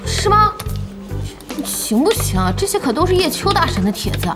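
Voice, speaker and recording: A woman acting a brash, bossy young internet-cafe boss and game fangirl in an audio drama, blunt, animated and teasing. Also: very high voice, moderate pace. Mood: indignant. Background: music behind the voice.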